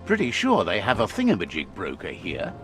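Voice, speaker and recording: A man with a low voice tells the game's story like a storybook, his pitch rising and falling all over. He sounds wry as he guides the player. Music plays under him. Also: wildly swooping pitch, a little fast. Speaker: game narrator. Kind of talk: scripted storybook-style game narration. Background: game music.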